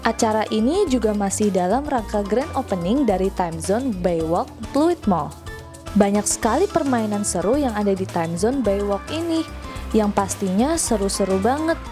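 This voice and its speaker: Woman, kids' show narrator, upbeat chatty voice-over, medium pitch, background music clearly audible. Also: expressive, normal speed. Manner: upbeat and chatty, speaking directly to young viewers. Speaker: narrator of a children's entertainment news show.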